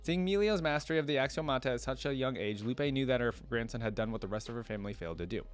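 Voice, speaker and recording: A man's voice: mid-range voice, animated, rapid-fire, clean tone. A man hosting a reaction video, reading story text aloud and commenting in an informal, rambling, conversational way. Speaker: YouTube reaction video host.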